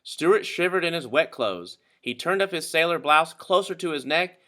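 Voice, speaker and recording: A man narrates a children's story with dramatic flair. His voice is bright and forceful, fairly high, and sweeps up and down expressively. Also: moderate pace. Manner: dramatic, animated. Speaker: storybook reader.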